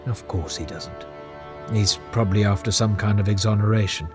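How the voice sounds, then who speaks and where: A man's voice: fairly low pitch, steady tone, normal speed, natural voice. An actor playing an eager, conciliatory male official who speaks earnestly. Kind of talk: audio drama acting. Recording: music bed underneath.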